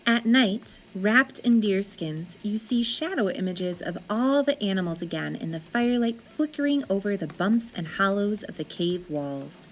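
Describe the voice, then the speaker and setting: A woman reading a children's story aloud as an expressive narrator, with deliberate, dramatic phrasing. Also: mid-range voice, rough recording.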